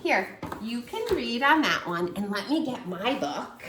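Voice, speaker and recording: A woman teacher reading a picture book aloud to a small child, lively and encouraging. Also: fairly high pitch, measured pace, roomy sound, background noise. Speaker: preschool teacher. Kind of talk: children's read-aloud lesson.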